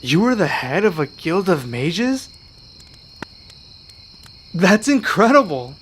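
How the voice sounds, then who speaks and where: Man acting earnest young werewolf, unhurried, lightish voice. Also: sits high, big pitch swings, fairly bright tone, a little hiss or hum, full character voice. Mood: grateful, admiring. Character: earnest, grateful young male werewolf learning magic. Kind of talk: ASMR roleplay acting.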